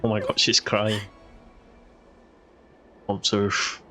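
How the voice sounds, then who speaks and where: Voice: deep voice, completely flat delivery, slow pace, bright, forceful tone. A game streamer reacting casually while playing, with murmured asides and game music faintly behind.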